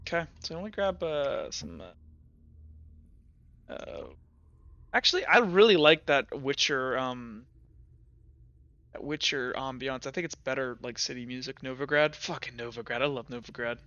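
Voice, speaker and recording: A man acting as game master, narrating a casual tabletop roleplay session with excitable, sweary banter. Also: mid-range voice, unhurried, pauses often, dry recording, dull audio.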